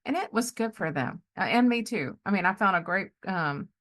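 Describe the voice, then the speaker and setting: A middle-aged woman talks as a podcast host in a casual, conversational way. Her voice sits at an ordinary pitch, and she speaks with animation and an easy readiness to laugh. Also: expressive, moderate pace, a bit bright. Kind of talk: casual chat.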